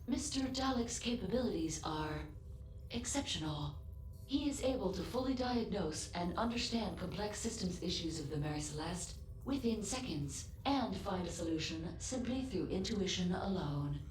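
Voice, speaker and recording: A woman voicing a polite, literal-minded android ship assistant in a video game, formal and measured, with precise diction. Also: on the low side, animated, roomy sound, soft background music, close to an everyday voice, poor audio. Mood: composed, factual. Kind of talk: scripted video game voice acting.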